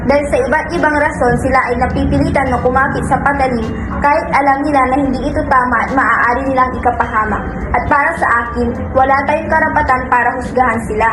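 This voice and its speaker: Woman acting serious kindhearted student girl, talks fast, echoey room sound, music behind, radio drama. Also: sits high, fairly even intonation, lightly acted. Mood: earnest. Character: earnest, sympathetic young female student.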